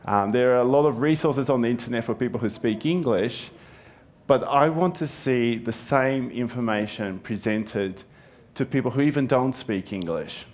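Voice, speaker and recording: A man's voice: medium pitch, normal speed, darkish tone. A young man, a native Japanese speaker raised in Japan, giving an earnest, friendly conference presentation with frequent ums. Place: conference hall.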